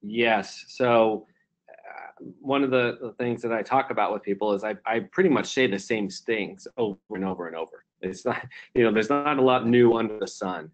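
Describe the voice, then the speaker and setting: A man's voice: on the low side, normal speed. Reflective man answering on a call. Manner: reflective, repeats himself with fillers and restarts. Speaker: company CEO, keynote guest. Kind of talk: answering questions on a conference call.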